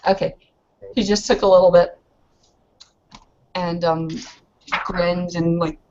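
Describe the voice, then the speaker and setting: Woman, lowish voice, very slow halting delivery, informal tabletop roleplay narration, dull recording. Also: steady tone, background noise. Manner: halting, informal, pausing to think.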